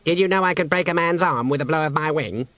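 A man's voice: a little high, fast, a bit bright, dry recording, pitched above the performer's own voice, natural voice. A man voicing a haughty, quarrelsome male swan in a storybook reading, with a snooty, argumentative manner.

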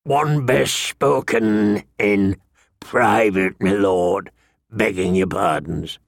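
A man voicing a gruff old watchman in an audiobook, gravelly and husky, rough-spoken yet respectful. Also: lowish voice, dry recording, clean studio sound, full character voice. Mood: guarded. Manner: slow, rustic, blunt deference. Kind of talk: audiobook character dialogue.

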